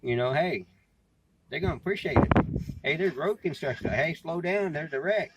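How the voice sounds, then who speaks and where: A man's voice: fairly high pitch, moderate pace. Folksy American man, noisy recording. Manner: folksy, plain-spoken, repeats phrases. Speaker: vlogger and CB radio hobbyist. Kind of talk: casual rambling talk to camera. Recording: clearly noisy background, amateur recording.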